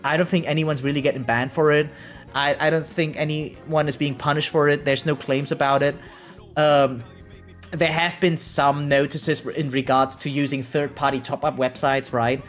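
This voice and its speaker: A man's voice: fairly high pitch, flat delivery, normal speed. Casual male streamer over background music. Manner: informal, emphatic, often seeking agreement with tag questions. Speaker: variety game streamer, host. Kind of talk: casual livestream commentary reacting to chat.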